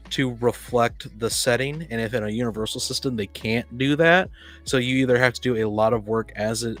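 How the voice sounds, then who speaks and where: A man hosting a casual discussion of tabletop games, talking in a halting, rambling way that often repeats words. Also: medium pitch.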